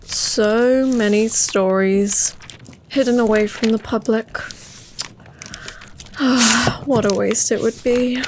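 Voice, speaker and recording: A woman acting a slow, ominous secret-seeker. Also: fairly high pitch, fairly even intonation, noisy background. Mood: brooding, ominous. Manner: deliberate, ominous, theatrical. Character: brooding, secretive female narrator hunting a collector's secrets. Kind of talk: scripted dramatic monologue.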